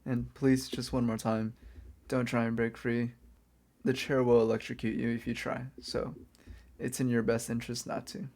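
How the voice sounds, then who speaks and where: Soft-spoken, even-toned man as a reformed villain. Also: medium pitch, creaky, natural voice. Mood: polite warning. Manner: casual, unhurried, hesitant and coaxing. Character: reformed male supervillain kidnapper, lonely, apologetic, gently friendly. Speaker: ASMR audio performer. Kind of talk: soft-spoken ASMR roleplay, addressing the listener.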